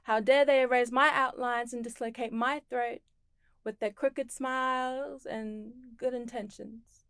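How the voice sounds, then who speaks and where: A woman from the Torres Strait Islands and Tonga performs spoken word poetry in a slow, deliberate way. Her voice sits a little high and sounds smooth and crisp. The recording is close and dry. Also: fairly even intonation, a bit bright, dry recording. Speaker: performing poet.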